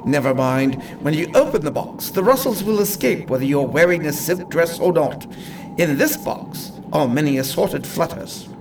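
A man's voice: a little high, expressive, moderate pace, far from the mic, background noise. A man playing an eccentric, chatty inventor and salesman in a radio play, courteous and theatrically proud. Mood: proud, salesmanlike. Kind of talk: amateur radio play acting.

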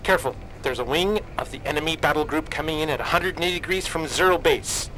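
A man's voice: fairly high pitch, fast talker, bright, forceful tone, a little hiss or hum, rough recording. A man playing an urgent starship battle commander in a science fiction radio drama, barking clipped orders. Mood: urgent, commanding.

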